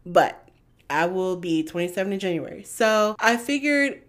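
A woman's voice: medium pitch, animated, normal speed. A woman in her twenties hosting a podcast on her own, talking in a rambling, chatty way with lots of "like" and "you know.". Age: 20s. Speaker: podcast host. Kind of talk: casual solo podcast chat.

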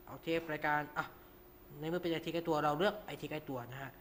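A man's voice: a little high, fairly even intonation, fast, a bit bright, slight background noise. A man hosting an informal tutorial, talking through each step with frequent polite particles. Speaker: radio show host.

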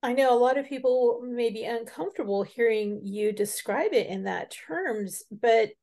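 A woman hosting an interview, speaking in a measured, reflective, deliberate way. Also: fairly high pitch, dark tone. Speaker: interview host. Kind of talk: interview conversation.

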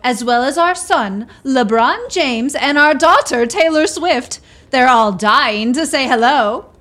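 Woman as a high-voiced self-styled grand duchess. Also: expressive, slow, a bit bright, full character voice. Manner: mock-regal formality mixed with folksy talk. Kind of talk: radio play acting.

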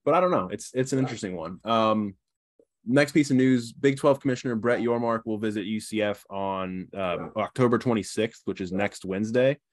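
A young man co-hosting a sports podcast, talking in a relaxed, soothing, smooth way. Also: on the low side, normal speed. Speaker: podcast co-host. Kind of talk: casual sports chat.

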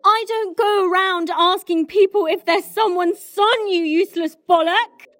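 A woman's voice: extremely high pitch, measured pace, fairly bright tone. A British woman playing a cynical, irritable political staffer in an audio comedy, blunt and scathing. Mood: furious. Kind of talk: audio comedy drama acting.

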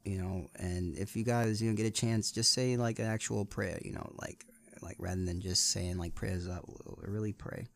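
A man co-hosting a podcast, speaking casually and reflectively in halting, measured short phrases. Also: on the low side, fairly even intonation, creaky. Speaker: podcast co-host.

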